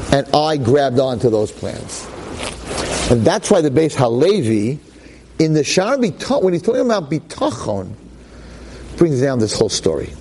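Animated man teaching a Torah class. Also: a little high, noisy background. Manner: emphatic, repetitive, dramatic rhetorical questions; public speaker. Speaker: Torah lecturer.

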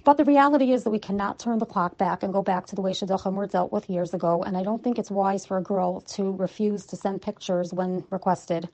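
A woman's voice: mid-range voice, steady tone, a little fast, few pauses, mellow, rough recording. A woman giving a practical, direct opinion.

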